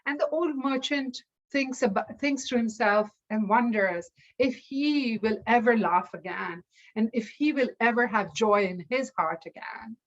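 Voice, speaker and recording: Female folktale storyteller, very unhurried and deliberate, higher register, dull lo-fi sound. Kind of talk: oral folktale telling.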